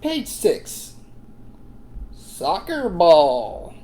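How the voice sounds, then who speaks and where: A woman's voice: fairly low pitch, huge pitch swings, extremely slow, warm tone, slight background noise, full character voice. A woman voicing a cheerful puppet who leads a read-along for young children in an exaggerated, encouraging sing-song. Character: Sebastian, a cheerful puppet reading to kids. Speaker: children's reading teacher.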